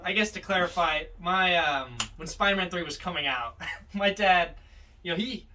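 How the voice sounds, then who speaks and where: A man co-hosting a podcast, casually discussing films in a halting, emphatic, opinionated way. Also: high-pitched, sharp tone, recorded from a distance, slight background noise. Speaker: podcast co-host.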